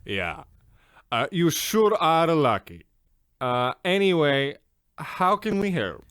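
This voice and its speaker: A man plays a haughty shoemaker from an old-time radio comedy. His fairly high voice swoops up and down with theatrical pomp, recorded close and dry. He sells bluntly and grandly. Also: sing-song, slow pace, a bit bright, smooth, dry recording, full character voice. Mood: puzzled, accommodating. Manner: pompous, theatrical, swooping delivery.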